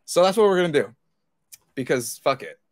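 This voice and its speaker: A man's voice: fairly high pitch, sing-song, fairly quick. A man chatting casually and informally as a podcast panelist, swearing freely. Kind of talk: casual chat.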